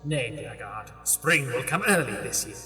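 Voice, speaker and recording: A man's voice: mid-range voice, lively intonation, normal speed, pushed and bright. A man voicing a playful, taunting fae nobleman in grandiose, mock-archaic theatrical speech. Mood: playful defiance. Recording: distant, echoey hall reverb over music.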